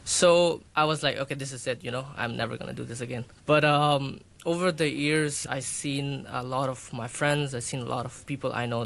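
A man's voice: mid-range voice, vocal fry, a bit bright. A man, a bodybuilder and personal trainer raised in Hong Kong, answering interview questions in a loose, rambling way with many fillers.

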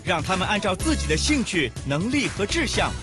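A woman's voice: medium pitch, big pitch swings, fairly quick. A woman announcer reading a scripted public service advert. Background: music.

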